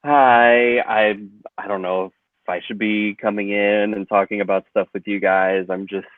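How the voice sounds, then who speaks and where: A man playing an eager, chatty woman on a date who is a Shrek fan, in an improv comedy scene. She gushes, apologizes quickly and gets flustered. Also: fairly low pitch, flat delivery, no echo, narrow, tinny audio, close to an everyday voice.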